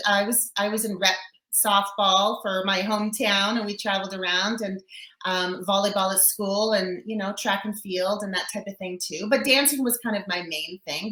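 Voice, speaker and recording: A woman's voice: medium pitch, pushed and bright, roomy sound, low-quality recording. A woman from Canada in her forties, a guest telling her story in a chatty, upbeat interview with lots of fillers. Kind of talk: casual interview chat.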